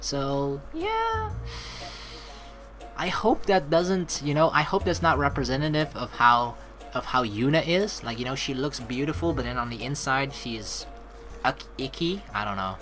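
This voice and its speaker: Female let's play host, low voice, lively intonation, chatty reading and reacting over game music. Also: a little slow. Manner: playful, chatty, reacts to the story. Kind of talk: game playthrough, reading narration aloud with casual commentary.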